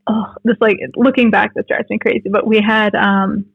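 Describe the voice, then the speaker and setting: A woman's voice: mid-range voice, little pitch movement, moderate pace, creaky, breathy edge, no room sound, highs cut off. A woman in her late twenties with a North American accent, chatting informally in an interview and telling stories in a loose, conversational way. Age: late 20s. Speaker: podcast guest, jiu jitsu writer.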